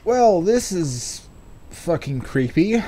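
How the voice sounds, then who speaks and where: A man's voice: sits high, very animated, slow, warm tone, a little hiss or hum. A man hosting a let's play, with rambling, casual commentary and stretches of game text read aloud. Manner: rambling, speculative, sweary.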